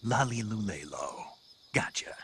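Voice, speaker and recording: An actor playing a gruff, laconic special forces soldier in a video game, speaking in a terse, stoic manner. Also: male voice, lowish voice, expressive, a little slow, a bit bright, slight background noise. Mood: terse, focused. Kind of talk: scripted video game dialogue.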